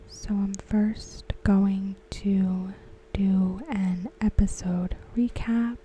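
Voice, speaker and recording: A woman whispering softly and without voice, unhurried and very slow. Her tone is deeply mellow and dark, and a faint hiss sits under the recording. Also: poor audio. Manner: soft unvoiced whisper, slow and even. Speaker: ASMR creator. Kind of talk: whispered ASMR recap.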